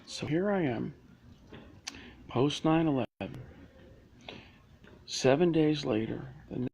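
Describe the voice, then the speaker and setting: A man's voice: fairly high pitch, expressive, takes it slowly, gravelly, darkish tone, a little hiss or hum. A man rambling through a live stream from inside a public library, full of restarts and asides. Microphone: phone or laptop camera.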